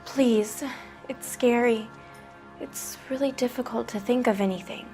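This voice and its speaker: A woman's voice: on the high side, fairly even intonation, a little slow, a little mellow, faint music behind. A woman playing a naive, shaken American teenage girl in a detective game, hesitant and pleading. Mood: defensive, pleading. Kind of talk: cinematic detective game dialogue.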